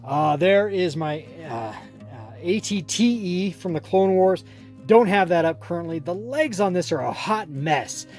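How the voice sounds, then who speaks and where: A middle-aged man hosting a toy collecting channel, rambling through a casual room tour with lots of filler and restarts, his voice going hoarse. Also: sits high, animated, measured pace, a little mellow, soft background music. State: losing his voice.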